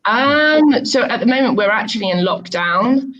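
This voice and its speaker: A woman's voice: on the high side, lively intonation, normal speed, a bit bright. A woman who teaches English online, chatting with a student over a video call in an easygoing way, with many ums. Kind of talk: casual conversation lesson. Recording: muffled video-call audio.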